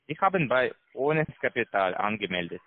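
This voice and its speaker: A man with a foreign accent, not a native German speaker, giving a halting, repetitive telephone sales pitch as a call center salesman. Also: sing-song. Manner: halting, repetitive, broken grammar. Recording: tinny narrow phone line.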